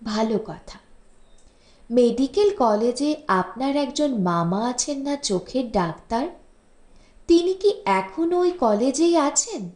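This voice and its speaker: Slow, polite housewife read by a woman, echoey. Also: high voice, lively intonation, a little mellow. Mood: chatty, apologetic. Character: polite, harried housewife writing to a relative. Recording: echoey room, music bed underneath.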